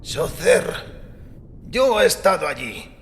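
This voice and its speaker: A man playing a devout, defensive priest who argues earnestly in a gravelly voice, in a dramatized audiobook. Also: a little high, sing-song, slow, fairly bright tone, exaggerated voice. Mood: skeptical curiosity. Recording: long reverberant hall with music behind. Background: music.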